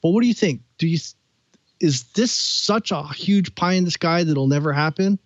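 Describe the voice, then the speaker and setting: A man hosting a talk, with a somewhat high voice, tells a story and then puts questions to his guest. The sound is dry and dull, like a lo-fi call recording. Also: normal speed, dry recording. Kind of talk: conversational questioning.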